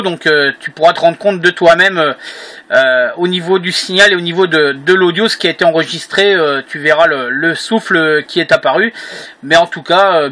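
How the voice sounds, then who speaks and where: A man's voice: a little high, fairly bright tone. A man chatting casually as a radio operator, hesitant and repeating himself as he goes. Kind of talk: casual radio contact chat. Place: indoor room at home.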